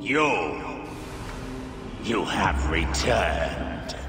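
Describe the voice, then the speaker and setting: An actor playing a menacing, fanatical, power-hungry villain of ancient Egypt in a video game, speaking in an ominous, grandiose way. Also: male voice, low voice, very animated, drawn-out words, exaggerated voice. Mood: fanatical, defiant. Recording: cavernous echo under loud music.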